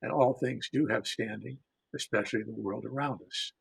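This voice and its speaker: Older guy, storyteller on a panel, really raspy creaky voice, measured, repeats for emphasis. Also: medium pitch, low-quality recording. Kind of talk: reflective panel talk.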